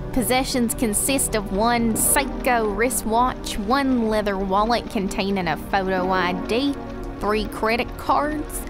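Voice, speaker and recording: Female jail clerk, played by an actress, slow formal itemizing, slightly high, music underneath. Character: procedural jail property clerk reading inventory.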